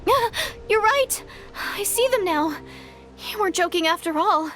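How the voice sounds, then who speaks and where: Very high, girlish, earnest young girl. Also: lively intonation, normal speed, a bit bright, faint music behind. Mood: amazed. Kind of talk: video game voice acting.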